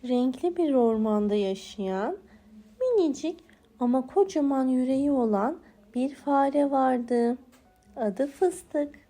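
A woman narrating a scripted bedtime story for small children with expressive, deliberate phrasing. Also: sits high.

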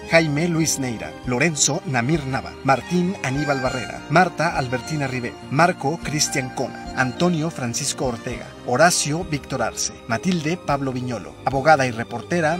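A man reading scripted closing credits as a radio drama announcer. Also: mid-range voice. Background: music.

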